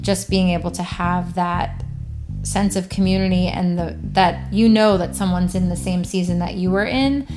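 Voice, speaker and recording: A woman's voice: lowish voice, steady tone, moderate pace, over music. A woman co-hosting a faith-based talk show, chatting in an upbeat, enthusiastic way. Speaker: podcast co-host. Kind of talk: casual faith-based chat.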